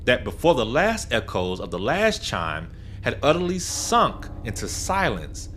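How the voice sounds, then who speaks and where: A man narrating a gothic horror tale in a dramatic, deliberate, scripted style. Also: medium pitch, wildly swooping pitch, a bit bright, slight background noise. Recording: prominent music bed under narration. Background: music.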